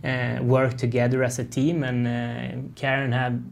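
A young man from Sweden speaks with a Swedish accent at a middling pitch. He talks as an interview guest, friendly and halting, often restarting his phrases. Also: completely flat delivery, gravelly. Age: young adult. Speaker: race car driver, guest. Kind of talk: casual interview talk.

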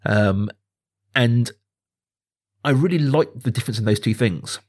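A man in his thirties chats about film as a podcast host. His voice sits at an average pitch with some huskiness. He is enthusiastic and emphatic, often restating a point to build it up. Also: a little slow. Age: 30s. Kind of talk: casual film discussion.

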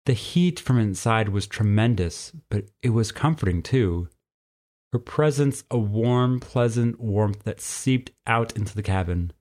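A man's voice: fairly low pitch, unhurried, darkish tone. A man reading a story aloud as narrator in a scripted storybook style.

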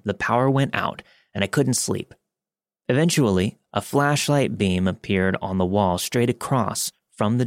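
A man's voice: medium pitch, no echo, clean studio sound. A man reading a scripted first-person scary story as a podcast narrator.

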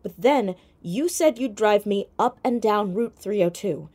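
A woman playing a sharp, persistent fourteen-year-old girl detective in an audio drama, questioning directly. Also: medium pitch, expressive, measured pace, slightly rough. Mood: probing, assertive. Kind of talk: audio drama acting, naturalistic.

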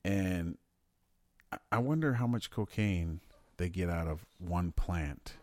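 A man co-hosting a podcast in casual chat, excitable and swearing as he riffs on questions. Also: deep voice, slow pace, dry recording. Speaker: podcast co-host.

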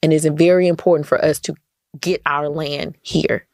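A woman's voice: sits low, steady tone, normal speed, gravelly, darkish tone, dry recording, clean studio sound. An American woman talking emphatically in a casual interview, often repeating phrases for stress as a podcast guest. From United States. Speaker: podcast guest, entrepreneur and community activist.

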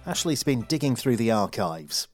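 A man's voice: medium pitch, big pitch swings, normal speed, a little mellow. A man reading a scripted programme announcement as the show's announcer. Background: music.

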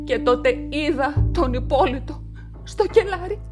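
Brief: woman playing a stuttering witness, fairly high voice, music bed. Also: expressive. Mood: shaken. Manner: stammering, hesitant. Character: shaken woman witness at a crime. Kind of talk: radio drama dialogue. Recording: radio play with music bed.

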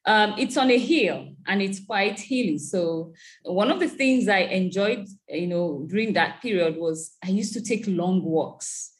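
A Nigerian woman speaks as a guest in a casual interview. She is easygoing and laughs often as she talks. Her voice sits at a middle pitch for a woman. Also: measured pace. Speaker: guest, chief executive.

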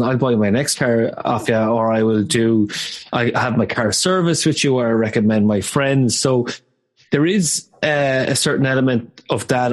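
A man hosting an interview podcast, who sums up ideas for the listeners and moves through topics with deliberate segues. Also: mid-range voice. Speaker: podcast host.

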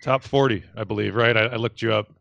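A woman hosting a podcast who drops in quick, joking asides. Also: very deep voice, steady tone, vocal fry, a bit bright, muffled recording. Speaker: podcast host. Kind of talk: casual interview conversation.

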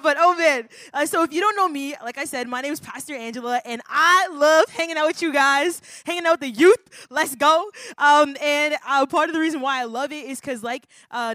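A young adult woman pastor giving an energetic, playful youth workshop talk, slangy and laughing often. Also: high voice, dry recording, clean studio sound. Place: conference room with youth audience.

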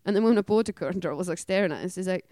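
A woman's voice: on the low side, very fast, dark tone, no room sound, studio quality. A woman from Iceland whose first language is Icelandic, speaking English with an Icelandic accent in casual chat, laughing often. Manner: laughs often, quotes herself in a raised voice.

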